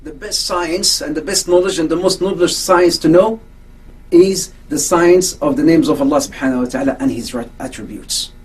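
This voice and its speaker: High-voiced man lecturing from afar. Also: normal speed, husky, recorded from a distance, low-quality recording. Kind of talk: religious lecture.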